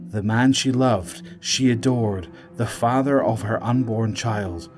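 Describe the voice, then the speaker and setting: A man's voice: mid-range voice, slow, over music. A man delivering dramatic scripted narration for an audio drama.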